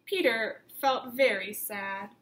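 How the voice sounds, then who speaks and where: A woman teacher reading a picture book aloud to young children, with expressive emphasis and simple questions put to the listeners. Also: on the high side, slow pace, echoey room, slight background noise. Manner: simple instructive phrasing, questions addressed to children.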